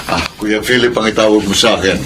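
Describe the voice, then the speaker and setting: A Filipino actor playing a genial, welcoming head of the household in a radio drama. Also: male voice, fairly low pitch, fast talker, lightly acted, rough recording. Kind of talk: Tagalog radio drama acting.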